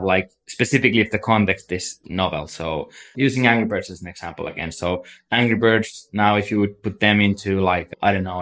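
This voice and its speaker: A man with a Finnish accent and a somewhat low voice explains business topics as a guest in a podcast interview. He talks steadily and at length, leaning on "like" and "so" as he builds his points. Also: a bit bright. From Finland. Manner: explanatory, many fillers like "like" and "so". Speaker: agency CEO, guest.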